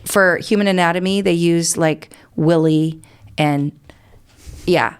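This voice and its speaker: A woman's voice: lowish voice, fairly even intonation, takes it slowly, clean tone. A middle-aged American woman answering as an interview guest, explaining things earnestly in a conversational way.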